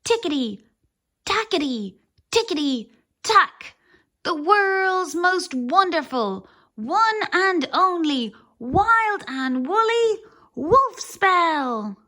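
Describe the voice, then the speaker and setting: A woman reading a children's story aloud, voicing a cheerful, boastful little male wizard who chants spells loudly. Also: very high-pitched, big pitch swings, slow, hardly any pauses, voice pushed up, heavily acted. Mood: triumphant. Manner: loud, bouncy, showy spell-chanting.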